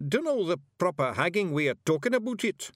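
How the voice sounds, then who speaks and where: A man voicing a fierce, blustering tiny fairy warrior chief, loud and gruff. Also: high voice, very animated, a little fast, no echo, clean studio sound, pitched above the performer's own voice. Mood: gruff pride.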